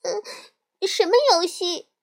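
A woman voicing an angry, bossy little girl bear cub who shouts indignantly. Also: extremely high pitch, fairly even intonation, slow, vocal fry, voice pushed up, exaggerated voice. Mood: sulky.

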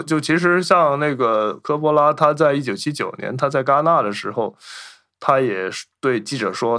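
A man's voice: mid-range voice, sharp tone. Man hosting a casual film podcast. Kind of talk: casual film discussion, anecdotes.

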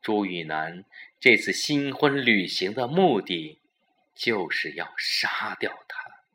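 A man's voice: lowish voice, pushed and bright. A man narrating a suspense audio story in a dramatic storytelling style.

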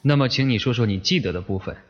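A man's voice: mid-range voice, fast, lightly acted. A man playing a methodical police detective questioning someone in an audio drama, businesslike and pressing. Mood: probing, businesslike. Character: male police detective, probing, methodical, firm interrogator. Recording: slightly dull, lo-fi microphone sound.

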